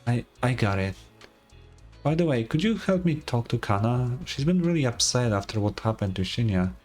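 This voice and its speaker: A man's voice: mid-range voice, sing-song, normal speed, darkish tone, soft background music. Man acting as a shy, stammering sick boy. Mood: worried. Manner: hesitant, stammering. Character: shy, insecure sick boy, easily flustered.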